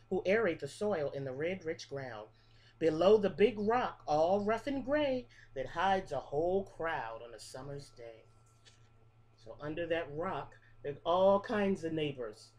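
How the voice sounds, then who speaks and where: Preschool teacher, woman, middle-aged; rhythmic read-aloud with asides to the kids, slightly low voice, very lively melody, close webcam. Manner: sing-song rhythmic reading with explanations to children. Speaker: pre-K teacher. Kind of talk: children's picture book read-aloud. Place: small room with a classroom wall display. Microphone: webcam at close range.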